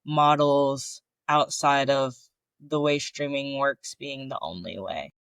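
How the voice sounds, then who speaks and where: A man's voice: medium pitch, takes it slowly, gravelly, fairly bright tone, clear, resonant tone, no room sound. A man co-hosting a podcast, talking casually and searching for his words with lots of filler. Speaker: podcast co-host. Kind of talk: casual conversational chat.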